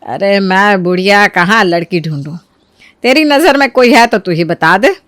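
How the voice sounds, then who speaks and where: A woman's voice: mid-range voice, expressive, normal speed, fairly bright tone, dry recording, natural voice. A woman voicing an elderly, fond and plain-spoken mother in acted story dialogue. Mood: weary, matter-of-fact.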